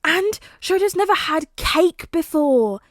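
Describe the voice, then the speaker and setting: Brief: gushing little boy, very high and bright, eager chatter, voiced by a woman. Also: lively intonation, takes it slowly, no echo, clean studio sound, exaggerated voice. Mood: excited. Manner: breathless, gushing, high-pitched.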